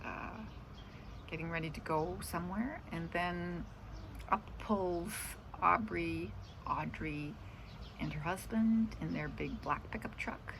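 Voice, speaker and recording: Low, creaky-voiced woman chatting casually. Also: slow, noisy background. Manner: meandering, trailing off into asides. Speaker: vlogger. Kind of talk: casual rambling chat about the day.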